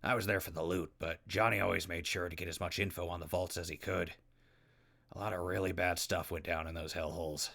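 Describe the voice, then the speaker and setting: A man's voice: low-pitched, fairly even intonation, fairly quick, vocal fry, a bit bright, full character voice. A man playing a chatty, wry wasteland radio host in an audio drama, casual and easygoing, prone to asides. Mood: casual, persuasive. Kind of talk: radio drama acting with mock sponsor reads.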